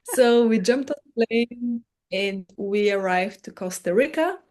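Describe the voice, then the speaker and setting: Soft-spoken woman from Bulgaria. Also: on the high side, animated, a little slow. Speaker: podcast guest, meditation teacher. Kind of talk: casual conversation, storytelling.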